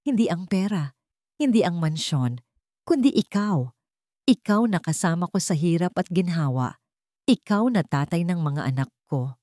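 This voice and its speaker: A woman's voice: lowish voice, lively intonation, moderate pace, dark tone, no echo, studio quality, lightly acted. A woman with a Filipino accent voicing a loving, contented wife and mother in a story. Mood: tender.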